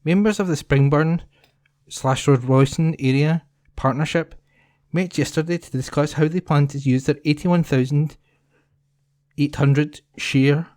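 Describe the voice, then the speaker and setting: A man's voice: on the high side, a little mellow, no echo, studio quality. A man reading local newspaper articles aloud as a volunteer. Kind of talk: scripted news reading for a talking newspaper.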